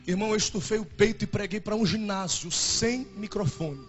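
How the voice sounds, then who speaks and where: A man's voice: high voice, moderate pace, dull audio, soft background music. A young man in his twenties with a Brazilian Portuguese accent, preaching a fervent and emotional sermon as a pastor. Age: 20s.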